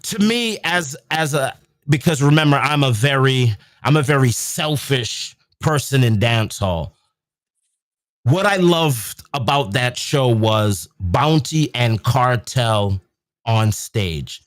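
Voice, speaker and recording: Man, young adult, from england, easygoing talker, mid pitch, dry close mic. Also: expressive, slow, a bit bright, no echo. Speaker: podcast host. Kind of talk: casual chat.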